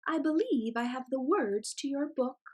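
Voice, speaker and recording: A woman's voice: high voice, lively intonation, moderate pace, darkish tone, voice pushed up. A woman voicing a clever, self-satisfied male fox in a storybook, speaking politely and coaxingly. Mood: proud, polite.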